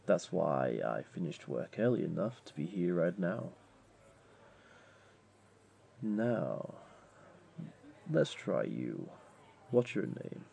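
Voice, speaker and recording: A man playing a flirty, comforting jaguar beastling in an intimate audio roleplay, gently coaxing the listener along. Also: deep voice, very animated, slow, creaky, slight background noise, exaggerated voice. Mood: inviting, flirty. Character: flirty, comforting male jaguar beastling, patient restaurant owner.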